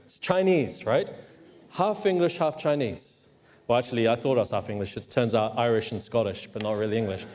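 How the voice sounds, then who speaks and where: A man's voice: medium pitch, brisk, darkish tone. A man from Hong Kong preaching a sermon emphatically, teasing his congregation at times. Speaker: pastor. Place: church. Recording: long hall reverb.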